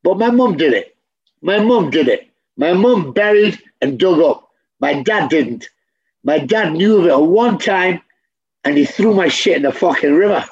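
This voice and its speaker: A man speaks bluntly in an interview, swearing freely and driving each point home with repetition. His voice sits fairly high and gravelly with a husky edge. The recording sounds dull and lo-fi. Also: animated, normal speed, a little mellow. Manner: blunt, profane, emphatic repetition. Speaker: interview guest. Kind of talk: candid conversation.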